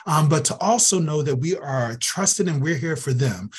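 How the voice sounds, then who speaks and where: A man's voice: a little high, animated, moderate pace, husky. A man speaking as an interview guest, earnest and emphatic, repeating phrases to drive his point home. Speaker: guest, youth program director. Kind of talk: conversational interview.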